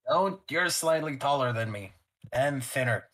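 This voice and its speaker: Male tabletop player, animated, joking, casual, mouthy. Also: mid-range voice, a little slow.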